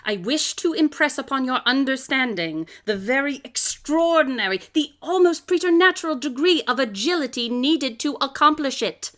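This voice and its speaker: An actress playing a brilliant, coolly confident detective who lectures with precise, theatrical diction in an audio drama. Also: female voice, high-pitched, animated, moderate pace, hardly any pauses, fairly bright tone. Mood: assured, expository. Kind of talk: live audio drama acting.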